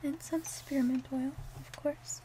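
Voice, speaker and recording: A woman playing a gentle, attentive witch in a soft, unhurried whispered ASMR roleplay. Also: high voice, fairly even intonation, slight background noise, poor audio. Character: gentle, attentive witch mixing love potions.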